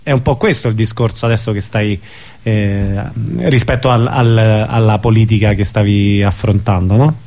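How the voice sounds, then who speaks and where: A man's voice: fairly low pitch, fairly even intonation, normal speed, darkish tone. A man interviewing in a conversational way, feeling his way through roundabout, hesitant questions. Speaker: interviewer, host.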